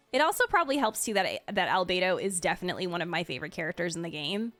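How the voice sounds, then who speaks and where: A woman's voice: on the low side, a bit bright, dry recording. A woman hosting in a casual, giggly way, giving enthusiastic reaction commentary as she goes through a ranking. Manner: gushing, enthusiastic. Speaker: video host and streamer.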